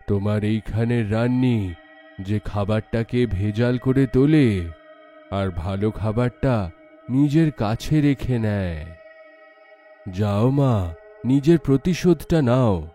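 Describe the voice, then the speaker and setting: পুরুষকণ্ঠে ধীর, গম্ভীর দৈববাণী. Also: male voice, mid-range voice, expressive, warm tone, soft background music. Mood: solemn commanding. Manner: slow, solemn, halting phrases. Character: solemn male divine voice, granting vengeance.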